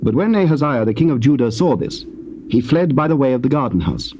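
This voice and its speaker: A man's voice: medium pitch, very animated, a little fast, warm tone, muffled recording. A man with a British accent narrating dramatised scripture in a solemn storytelling style. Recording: noisy old cassette tape recording.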